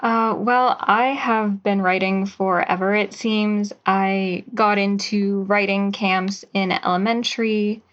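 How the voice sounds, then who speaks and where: A young woman in her twenties, a writer, answering slowly and thoughtfully in an interview. Her voice comes through somewhat dull and muffled, like a remote call. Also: mid-range voice, flat delivery. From Pennsylvania, USA. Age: 20s. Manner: measured and thoughtful, with some hesitation fillers. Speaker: writer and guest.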